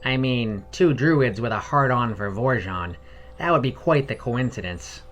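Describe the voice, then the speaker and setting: A frank woman adventurer as a man reads her in a fantasy story. The talk is blunt, dry and salty, at a middling pitch over music. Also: expressive, unhurried, fairly bright tone. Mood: wry. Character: frank, wry female adventurer with salty tongue.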